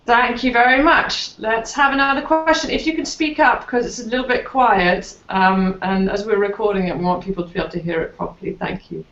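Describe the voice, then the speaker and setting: A woman's voice: medium pitch, fairly even intonation, brisk, hardly any pauses, fairly bright tone, muffled recording. Polite woman moderator in an echoey room. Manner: polite and businesslike, with fillers. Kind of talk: moderating a live video chat.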